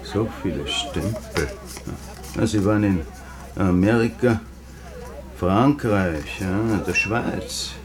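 An actor playing a suspicious Nazi border official, probing and condescending with quiet menace, in a radio drama. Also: male voice, fairly low pitch, slow.

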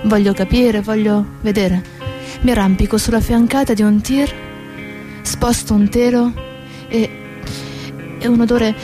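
An actress playing a bitter, grieving daughter in a theatrical monologue, speaking emphatically with deliberate pauses. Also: female voice, on the high side, a little mellow, close to an everyday voice. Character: grieving, bitter daughter of a dead factory worker. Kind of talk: theatrical monologue over guitar. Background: acoustic guitar music.

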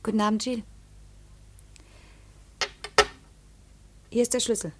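An actress playing a troubled young widowed aunt, confiding hesitantly and earnestly in a 1950s German radio play. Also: female voice, a little high, little pitch movement, racing, very noisy, close to an everyday voice.

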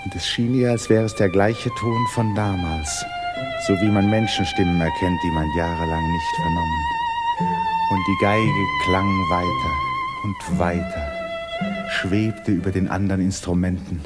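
A man with a deep voice narrates a literary radio drama in 1950s style. His storytelling is dramatic and widely modulated, and violin music plays clearly behind him. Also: huge pitch swings, unhurried.

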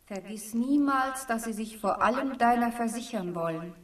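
A woman's voice: fairly high pitch, moderate pace, lightly acted. An actress playing a dead mother remembered by her son, giving grave warnings in a radio drama, distant and echoing. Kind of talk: German radio drama acting.

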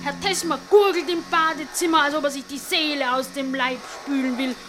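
A woman's voice: high voice, fairly even intonation, a little fast, background noise, natural voice. A woman playing a chatty, plain-spoken housekeeper who grumbles and complains, in a German radio play. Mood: grumbling. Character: grumbling, chatty housekeeper of a bachelors' flat share.

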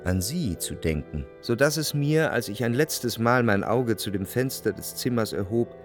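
An actor playing an introspective, jealous lover who narrates his memories in a measured, literary radio drama style. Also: medium pitch, lively intonation, faint music behind, lightly acted. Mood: reflective, brooding. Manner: reflective, measured literary delivery in long sentences.

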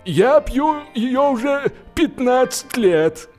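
A man voicing a gruff old lock keeper in an audiobook, blunt, emphatic and easily exasperated. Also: very high voice, expressive, slow pace, a little mellow, soft background music, pitched above the performer's own voice, heavily acted. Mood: dryly mocking.

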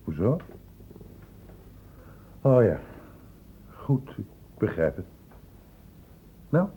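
An actor playing a patient, probing public-health doctor in a radio drama, explaining and questioning insistently. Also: male voice, fairly low pitch, big pitch swings, drawn-out words, a little hiss or hum, heavily acted. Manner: insistent, explanatory questioning with short pointed prompts. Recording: dull lo-fi old radio play recording.

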